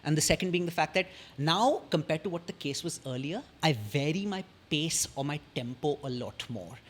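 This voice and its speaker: Man, indian english accent, young adult, slightly husky, fairly high-pitched, measured, articulate, podcast guest. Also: animated. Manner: articulate, measured, pauses often between sentences. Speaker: podcast guest, communication strategist. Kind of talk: casual explanatory interview talk.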